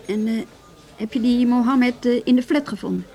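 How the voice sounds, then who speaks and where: A woman's voice: fairly high pitch, normal speed, mellow, natural voice. An actress playing a self-possessed woman in a naturalistic radio drama, speaking frankly and teasingly. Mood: curious, concerned. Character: self-possessed, teasing married Dutch woman, detective's colleague.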